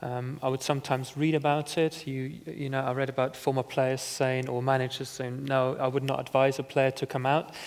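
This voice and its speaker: A former footballer speaking as a guest on a conference panel, talking in a reflective, earnest way with many "you know"s. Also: male voice, mid-range voice. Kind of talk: conversational panel talk. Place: conference stage.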